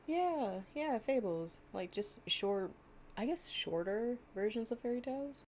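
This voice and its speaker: A woman's voice: on the high side, expressive, a little slow, a little mellow, slight background noise. A young woman playing an affectionate, chatty girlfriend in an improvised, intimate storytime roleplay, with a casual, slangy and playful way of talking. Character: affectionate, chatty girlfriend reading fables to her partner.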